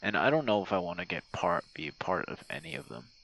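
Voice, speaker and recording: A man's voice: low voice, big pitch swings, quick pace, vocal fry, fairly bright tone, low-quality recording. A man giving a casual, rambling monologue like a voice message to a friend, hesitant and meandering, with easy swearing. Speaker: online audio creator and game streamer. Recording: outdoor night sounds with crickets. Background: crickets and insect night sounds.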